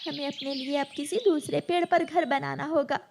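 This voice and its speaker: A woman voicing a worried, gentle mother sparrow in an animated children's story, earnest and protective. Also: high voice, normal speed, background noise. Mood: gentle, resolved.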